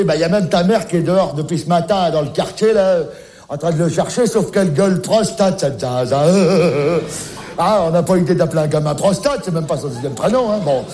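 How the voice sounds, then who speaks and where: A man voices a forgetful grandpa phoning his daughter about the grandson he is minding, with a high voice. He rambles, loses his thread and repeats the same questions over and over, unbothered. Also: darkish tone. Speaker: comic sketch character. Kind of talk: comic one-sided phone call sketch.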